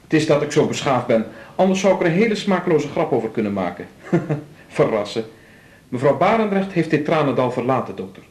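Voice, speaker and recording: A Dutch actor playing an officious, flippant nursing-home porter in a radio drama, wordy and mock-formal, in an echoing hall. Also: male voice, a little high, big pitch swings, a little fast, darkish tone. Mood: officious, sardonic. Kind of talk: naturalistic 1980s radio drama acting. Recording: roomy, echoing studio scene.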